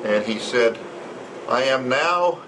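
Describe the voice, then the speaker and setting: An older man with a middle-pitched voice tells recollections in a casual, halting way, searching for words. Clear restaurant noise surrounds him as he talks. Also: animated. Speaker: club member. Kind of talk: casual reminiscing. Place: restaurant luncheon gathering. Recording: noisy restaurant, loud background chatter.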